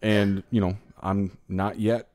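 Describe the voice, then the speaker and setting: A man's voice: lowish voice, little pitch movement, slow pace, darkish tone, no echo. A middle-aged man hosting a podcast, chatting casually with profane, joking banter. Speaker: podcast host. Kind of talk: casual chat.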